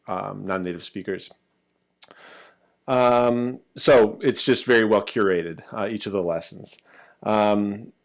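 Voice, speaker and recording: American man, 30s, casual testimonial, halting with lots of fillers, slightly low pitch. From United States. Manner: hesitant, many ums and uhs. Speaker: language student giving a testimonial.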